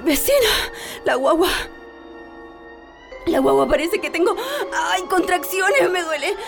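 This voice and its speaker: A woman's voice: extremely high pitch, lively intonation, a little slow, heavily acted. A Chilean actress playing a frightened pregnant woman in labour, pleading and groaning through pain in a radio drama. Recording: music behind the voice.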